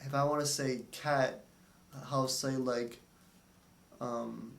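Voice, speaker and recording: A young man who stutters, talking candidly in a therapy session, halting and hesitant with many fillers. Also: mid-range voice, fairly even intonation, vocal fry, reverberant, far from the mic, a little hiss or hum. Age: young adult. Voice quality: stutters, with blocks and repeated words. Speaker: speech therapy client. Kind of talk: candid interview talk.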